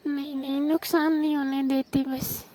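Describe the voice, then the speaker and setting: A woman's voice: sits high, little pitch movement, moderate pace, warm tone. A woman from Rajasthan on a recorded phone call, teasing and bargaining in a coy, flirtatious way.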